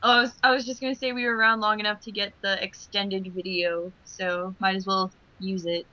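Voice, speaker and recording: A woman's voice: medium pitch, level tone, a little fast, pushed and bright, poor audio. A young woman, a voice actress, chatting casually on a commentary track.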